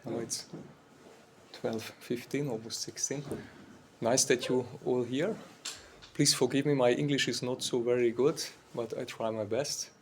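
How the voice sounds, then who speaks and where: A man in his forties, a monk from Austria, giving an informal university talk in English with an Austrian German accent. His voice is slightly weak, and he speaks haltingly, searching for words and often saying "like.". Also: medium pitch, steady tone, a little mellow, slight background noise. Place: university lecture room.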